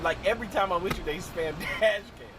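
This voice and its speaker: A young man teasing his friends in a car with loud, slangy banter. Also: high-pitched, brisk, noisy background. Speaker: passenger in the challenge. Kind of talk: casual banter. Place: inside a car.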